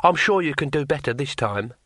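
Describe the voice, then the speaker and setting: A man voicing a calm, soft-spoken male thief master who speaks levelly and slowly in a storybook reading. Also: mid-range voice, no room sound, studio quality. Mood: quietly menacing. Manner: quiet, level, slow.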